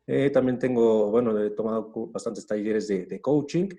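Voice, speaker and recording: A man introducing himself as a business trainer on a video call, measured and slightly halting. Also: fairly low pitch, mellow. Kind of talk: self-introduction.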